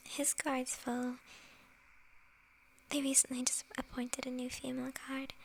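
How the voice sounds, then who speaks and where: A woman voicing a sly, flirtatious witch in an ASMR fantasy roleplay, teasing in a soft, breathy whisper. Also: high-pitched, slight background noise, full character voice, poor audio. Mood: secretive, sly. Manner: slow, teasing, with soft chuckles.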